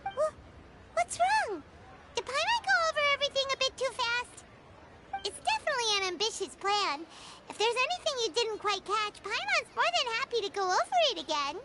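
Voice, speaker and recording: An extremely high, bouncy-pitched little female fairy, chirpy and eager as a game companion. There is loud noise with clicks behind the voice. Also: sing-song, moderate pace. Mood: eager, flustered. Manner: perky, speaks of herself in third person. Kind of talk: video game voice acting.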